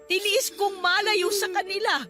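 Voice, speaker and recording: An actress playing a stern, hurt nanny with a Filipino accent, speaking emphatically and reproachfully in a radio drama. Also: female voice, extremely high pitch, level tone, normal speed, music in the background. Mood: hurt, resigned. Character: stern, hurt, older Filipino nanny scolding her ward.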